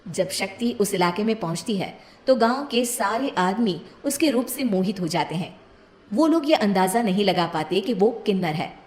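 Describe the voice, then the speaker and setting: A woman's voice: mid-range voice, expressive, moderate pace, a little mellow. Echoey woman narrating a cartoon story.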